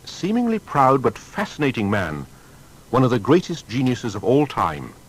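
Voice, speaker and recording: A man narrating a scripted biography in a measured, formal and dramatic way. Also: wildly swooping pitch, rough recording. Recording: faint background rumble and noise.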